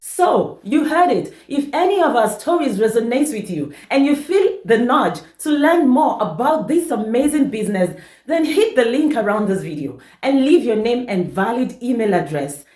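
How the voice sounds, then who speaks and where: A woman's voice: on the high side, very animated, moderate pace, few pauses, darkish tone, roomy sound. A woman from Nigeria, an online business mentor, hosting a promotional video in an upbeat, persuasive way. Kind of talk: promotional testimonial address.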